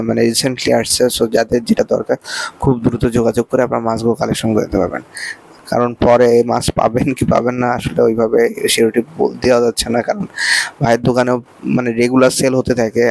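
A man with a Bangladeshi accent gives a promotional shop tour. His delivery is quick and strikingly monotone, at a middling pitch with a creaky edge. He works through repetitive sales patter as a presenter. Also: no room sound, rough recording. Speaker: presenter showing fish for sale.

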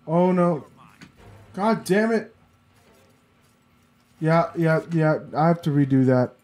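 A man's voice: a little high, animated, takes it slowly, darkish tone, faint music behind. A sniffly, congested man giving casual gameplay commentary, muttering to himself as he plays. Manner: muttering to himself while playing. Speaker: let's play gamer.

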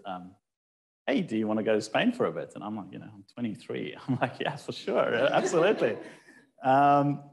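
A South African man in his forties telling a meandering, relaxed story of his career as a startup founder at a live talk. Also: fairly low pitch. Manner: meandering, relaxed, with fillers and light laughs. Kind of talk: casual storytelling presentation. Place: event hall talk.

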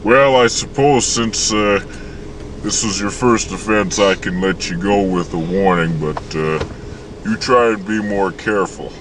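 A calm, officious state trooper, played by a man speaks in a lowish, plain voice with small hesitations. He sounds distant from the mic, with clear noise behind him. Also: a little slow. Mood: stern. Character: stern male state trooper.

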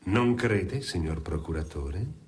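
A man's voice: sits low, expressive, slow, soft background music. An actor playing a shrewd, self-possessed male lawyer in a radio drama, sardonic and theatrical. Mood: sardonic challenge. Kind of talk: Italian radio drama acting.

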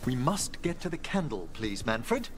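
An actor voicing a gentle, wistful older necromancer scholar in a video game, speaking formally and courteously. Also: male voice, mid-range voice, sing-song, measured pace, background noise. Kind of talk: video game dialogue acting.

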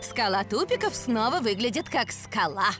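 A sensible lady meerkat professor from a cartoon, calm in temper. Her fairly high voice moves in lively tones over clear music. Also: normal speed. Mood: thoughtful. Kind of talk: animated cartoon dub.

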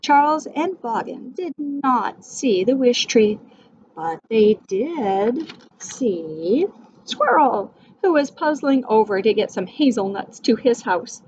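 A middle-aged woman teacher reading a storybook aloud to young children, expressive and addressing them directly. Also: on the high side, takes it slowly. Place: small indoor room with whiteboard. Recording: dull sound, faint background noise.

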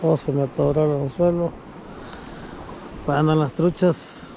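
A man's voice: on the high side, a little slow, low-quality recording. Chatty man fishing outdoors. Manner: chatty, addresses viewers as friends, excited when fish bite. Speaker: fishing vlogger. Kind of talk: casual outdoor commentary to viewers. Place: outdoors on a frozen reservoir and by a creek. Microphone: action camera worn on the body. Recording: wind and outdoor noise in the background.

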